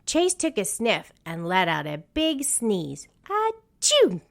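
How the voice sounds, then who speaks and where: Lady reading a kids picture book, takes it slow, voice goes up and down a lot. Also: fairly low pitch, gravelly. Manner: animated, deliberate storytelling. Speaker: storybook reader. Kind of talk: scripted children's read-aloud narration.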